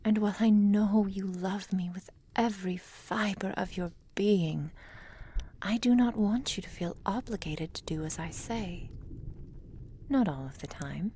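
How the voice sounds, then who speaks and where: A woman playing a proud, lovelorn immortal witch in an intimate ASMR roleplay, speaking softly and breathily in formal, deliberate phrases, often in a whisper. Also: medium pitch, a little hiss or hum, lightly acted. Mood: solemn, cautioning. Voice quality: soft, breathy, frequently whispered.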